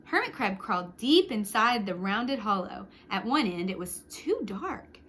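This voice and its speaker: A woman reading a picture book aloud to young children, expressively, with short hushed asides to the listeners. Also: medium pitch, measured pace, slight background noise. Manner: expressive, with hushed whispered sound words and asides. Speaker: storytime reader for young children.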